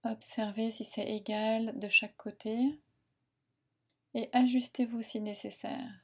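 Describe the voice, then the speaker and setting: Female meditation guide, fairly high voice, very slow soothing delivery with long pauses. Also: level tone, fairly bright tone. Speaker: relaxation and yoga nidra guide.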